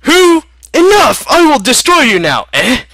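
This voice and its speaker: Man doing a high, aggrieved villainous brother. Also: huge pitch swings, unhurried, pushed and bright, no echo, studio quality, heavily acted. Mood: furious. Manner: tags sentences with "eh". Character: forgotten, vengeful brother turned evil scientist.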